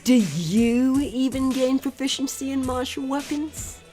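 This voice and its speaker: A woman's voice: high voice, unhurried, mellow, soft background music. A woman voicing an eager, cheeky young boy dragon sidekick in a parody cartoon, perky and mock-heroic. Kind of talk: fan parody cartoon dub.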